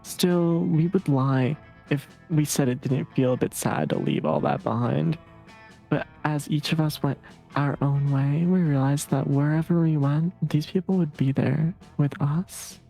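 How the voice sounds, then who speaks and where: A woman's voice: low voice, unhurried, vocal fry, dark tone, soft background music. A woman streamer chatting casually and reading game text aloud on a livestream.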